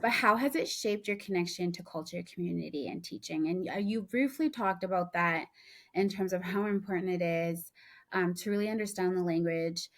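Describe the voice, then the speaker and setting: Woman host with a lowish voice. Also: moderate pace. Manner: long, searching questions with ums. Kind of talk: interview questions.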